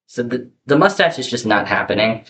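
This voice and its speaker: A young man talking candidly to his camera in a casual vlog, rambling with lots of fillers and restarts on a low-quality microphone. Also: expressive, a little fast, fairly bright tone. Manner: chatty, candid, rambling with many fillers and self-corrections. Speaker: vlogger. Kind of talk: casual personal talk to camera. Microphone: poor camera microphone. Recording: faint background hiss, low-quality mic.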